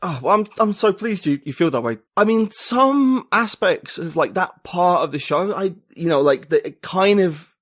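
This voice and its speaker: A man's voice: high-pitched, lively intonation, moderate pace, few pauses, a bit bright. A man from the UK, a writer and podcaster, talking casually as an interview guest, often restarting his sentences. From United Kingdom. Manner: chatty, hesitant with many restarts.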